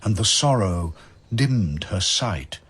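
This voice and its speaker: A man narrating verse as a dramatic storyteller, in a measured, solemn, literary manner. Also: low-pitched, expressive, fairly bright tone, breathy edge.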